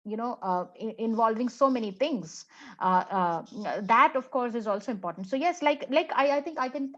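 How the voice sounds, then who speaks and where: A woman with an Indian English accent, whose first language is Hindi, speaking as a conference speaker in a reflective, halting way full of fillers and restarts. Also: on the high side, muffled recording. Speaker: plenary speaker, English professor. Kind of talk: conference talk answering a question.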